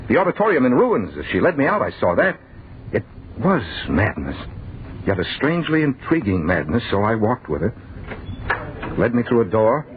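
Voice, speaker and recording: A man's voice: mid-range voice, sing-song, a little hiss or hum, lightly acted. Actor as haunted, agitated man, tinny old radio. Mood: uneasy, dazed. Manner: halting, fragmented, overwrought delivery. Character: bewildered, agitated man recounting a waking nightmare. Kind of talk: old-time radio horror narration. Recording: tinny, band-limited old radio broadcast, crowd murmur.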